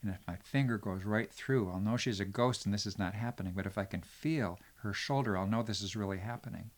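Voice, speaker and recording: A man voicing a young handsome prince in a children's storybook tale, musing aloud in a hushed, earnest way. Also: lowish voice, fairly quick, voice pushed down, close to an everyday voice. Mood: wondering. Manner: hushed, musing, talking to himself.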